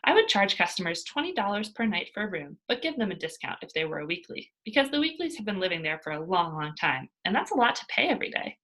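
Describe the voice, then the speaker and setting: A woman's voice: mid-range voice, sing-song, fast talker, hardly any pauses, fairly bright tone. A woman voicing an earnest young girl reading out her heartfelt essay in a storybook, sincere and emphatic. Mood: earnest, hopeful.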